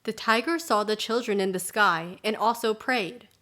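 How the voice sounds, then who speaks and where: Woman narrating a folktale, medium pitch. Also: normal speed, sharp tone. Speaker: storyteller.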